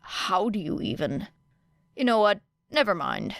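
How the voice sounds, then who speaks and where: A woman reading a fan audiobook, voicing an apathetic teenage boy who speaks flatly and curtly. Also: mid-range voice, measured pace, creaky, dry recording, full character voice. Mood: dry. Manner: flat, dry, curt.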